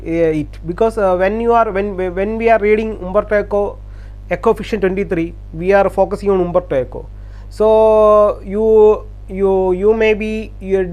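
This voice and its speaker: Halting man, high-pitched voice. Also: a little mellow. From Kerala, India. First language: Malayalam. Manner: halting, repeats words, frequent uh fillers. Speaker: channel host. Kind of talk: casual talk to camera.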